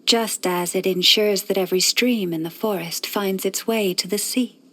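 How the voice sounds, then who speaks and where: An actress voicing a gentle young moon priestess in a video game, with a soft, breathy voice and a calm, soothing, formal manner. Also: female voice, mid-range voice. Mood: serene, reflective. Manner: calm, measured, soothing, formal poetic phrasing. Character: gentle, serene young woman, moon priestess of a forest faith. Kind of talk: scripted video game character voice lines.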